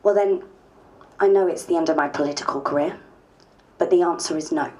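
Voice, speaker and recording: A woman's voice: sits low, fairly quick, warm tone, close to an everyday voice, poor audio. A woman playing an outspoken, principled MP in a diary dramatisation, speaking in a wry, conversational way. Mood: polite, resolute. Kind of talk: dramatised diary, dialogue and narration.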